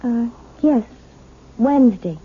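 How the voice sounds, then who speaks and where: An actress playing a timid, wounded wife in 1970s radio drama, restrained and hesitant. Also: female voice, a little high, lively intonation, takes it slowly, husky, phone-quality sound, heavily acted. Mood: entranced. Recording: thin, noisy old radio broadcast recording.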